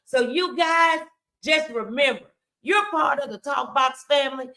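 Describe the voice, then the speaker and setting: Bright-voiced woman hosting motivational talk. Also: sits high, a little slow. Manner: emphatic, encouraging, addresses viewers directly. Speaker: talk show host.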